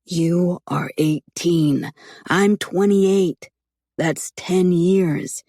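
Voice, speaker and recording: A woman voicing a calm, teasing man in his late twenties who talks in a measured, reasoning way. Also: sits high, vocal fry, dry recording, clean studio sound, heavily acted. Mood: earnest. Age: 20s.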